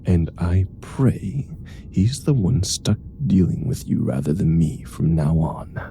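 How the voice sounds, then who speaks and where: A man's voice: sits low, animated, takes it slowly, gravelly, warm tone, a little hiss or hum, full character voice. A man playing a grumpy, exasperated male superhero in a comedic audio roleplay, with dry, weary sarcasm. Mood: irritated, dry.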